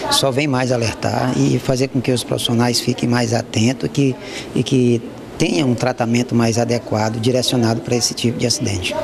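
A man's voice: mid-range voice, flat delivery, normal speed, creaky, a crowd in the background. A young man, a health worker, answering a reporter steadily on a handheld mic. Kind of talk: news interview.

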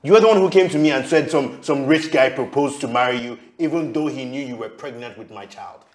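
A man's voice: mid-range voice, brisk, mellow, natural voice. An actor playing a jealous, accusing lover and aggrieved father in a screen drama, questioning in a confrontational way. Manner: confrontational, interrogating. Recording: roomy echo, faint background noise.

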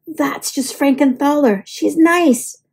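A woman's voice: sits high, slow, a little mellow. A woman voicing friendly storybook monsters who speak in an emphatic, reassuring way.

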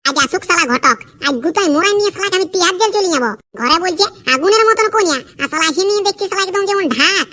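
A man's voice: very high-pitched, lively intonation, quick pace, hardly any pauses, bright, forceful tone, exaggerated voice. A man voicing a brash, crude, boastful young village bachelor in a cartoon comedy, bickering and cursing in a Purulia Bengali accent. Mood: indignant, mocking. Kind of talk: rustic cartoon comedy acting.

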